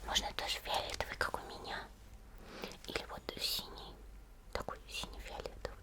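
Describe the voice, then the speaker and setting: A woman playing a friendly teenage monster girl in an ASMR roleplay, murmuring gently in a soft, breathy whisper. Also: close to an everyday voice. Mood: gentle, attentive. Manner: gentle, unhurried, murmuring to the listener. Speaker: ASMR video creator.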